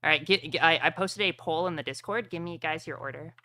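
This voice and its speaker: A woman's voice: low voice, quick pace, sharp tone. A woman acting as game master for a streamed tabletop roleplaying game, talking casually with gamer slang.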